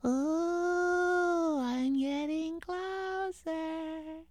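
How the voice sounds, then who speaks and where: A man voicing a small, playful ghost that wails with high, drawn-out moans. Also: steady tone, no echo, heavily acted. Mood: spooky, teasing. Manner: high wavering drawn-out ooh sounds.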